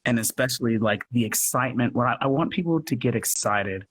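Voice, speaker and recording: A man talking earnestly in a casual online interview, haltingly as he thinks aloud. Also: medium pitch, husky. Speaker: podcast producer and guest. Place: live social media video call.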